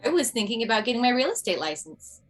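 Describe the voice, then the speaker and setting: A woman's voice: fairly high pitch, lively intonation, quick pace. A woman playing a dry, hesitant middle-aged widowed mother who used to do standup, in a comedy table read. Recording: video call.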